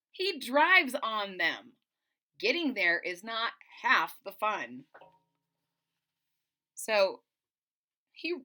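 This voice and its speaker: A woman's voice: on the high side, pushed and bright. A middle-aged woman reading a picture book aloud to children, expressively, with explanatory asides and dramatic emphasis. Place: small indoor room at home.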